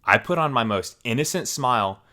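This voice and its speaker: A man hosting a story channel, reading forum posts aloud in a steady, even storytelling manner. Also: mid-range voice, brisk.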